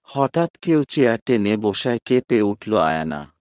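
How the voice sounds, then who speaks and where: A man narrating a romantic story from a script in a measured, expressive reading style. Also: on the low side, smooth, no echo, clean studio sound.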